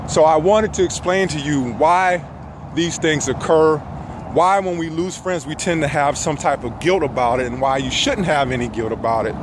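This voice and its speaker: A middle-aged man giving an earnest motivational talk in measured phrases with frequent pauses, walking outdoors with a phone at arm's length. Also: a little high. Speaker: self-help vlogger. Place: outdoors on a path among trees. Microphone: phone held at arm's length. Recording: noisy outdoor phone recording, traffic ambience.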